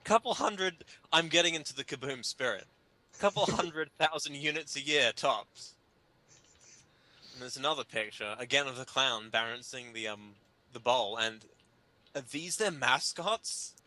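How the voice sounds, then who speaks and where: A man's voice: mid-range voice, a little slow, pushed and bright. A man giving a theatrical, comedic dramatic reading of a humorous article to friends, prone to breaking up as he reads. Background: music.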